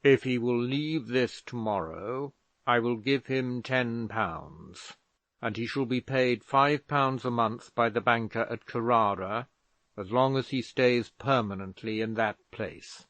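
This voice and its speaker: Man doing a pompous old father, loud and slow, indignant, dry close sound. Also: mid-range voice, dry recording. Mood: cold. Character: stern elderly father, a pompous angry doctor.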